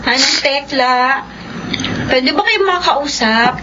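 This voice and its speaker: A woman's voice: high-pitched, lively intonation, slow pace. An actress playing a timid, earnest woman who helps at an eatery, polite and hesitant, in a Philippine radio drama. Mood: subdued, apologetic. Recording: dull lo-fi radio sound, clear noise.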